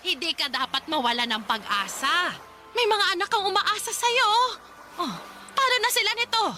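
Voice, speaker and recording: A stern grandmother scolds her son in a high, bright, sharp-edged voice. She talks in a reproachful, lecturing way. A faint music bed runs underneath. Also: moderate pace, heavily acted. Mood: pleading. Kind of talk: radio drama acting.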